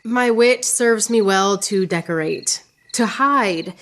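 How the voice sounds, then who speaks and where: An actress playing a witty, proud, lovelorn woman poet in radio theatre, speaking in a theatrical, yearning way with drawn-out phrases. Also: mid-range voice. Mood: earnest, yearning. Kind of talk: poetic radio theatre acting.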